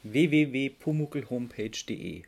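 A man's voice: mid-range voice, animated, moderate pace, creaky, a little mellow. A man with an Austro-Bavarian accent co-hosting a podcast, explaining things informally and correcting himself as he goes. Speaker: podcast co-host. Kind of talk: casual explanatory chat.

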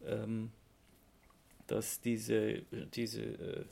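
Austrian man, creaky voice, casual podcast host. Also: fairly low pitch, monotone, very slow, mellow. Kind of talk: casual podcast chat, explaining.

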